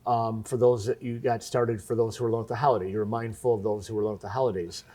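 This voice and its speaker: A man's voice: on the low side, fairly even intonation, very fast, mellow. A man hosting a local television interview, asking questions in a conversational way.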